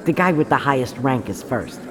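A woman playing a brisk, sensible, bossy fairy in a fairytale audio drama, down-to-earth and colloquial. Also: very deep voice, expressive, noisy background. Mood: chatty. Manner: down-to-earth, colloquial, take-charge. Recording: crowd murmur behind the voice.

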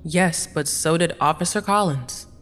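A woman's voice: deep voice, unhurried, fairly bright tone. Woman playing a defensive witness, echoing room. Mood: defensive, reluctant. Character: defensive, upset female courtroom witness. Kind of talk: courtroom audio drama. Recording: reverberant room.

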